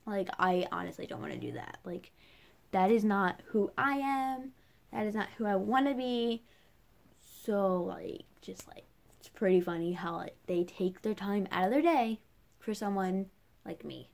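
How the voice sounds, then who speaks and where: A woman's voice: mid-range voice, expressive, measured pace, creaky. Young woman rambling casually, chatty vlogger. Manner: chatty, rambling, heavy use of "like". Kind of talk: casual personal rant to camera.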